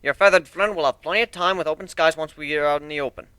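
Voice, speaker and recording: A man's voice: on the high side, fast, bright, forceful tone, clean tone, dry recording, voice pushed up. A man voicing a boastful, hearty minotaur airship builder in a dramatic story reading, with a brash, folksy way of talking. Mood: brisk, instructing. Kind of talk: dramatic fan fiction reading.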